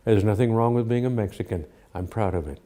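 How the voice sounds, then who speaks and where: Blunt low-voiced man, quick talk. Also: dark tone, a little hiss or hum. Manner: blunt, emphatic. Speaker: interviewee. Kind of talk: interview talk.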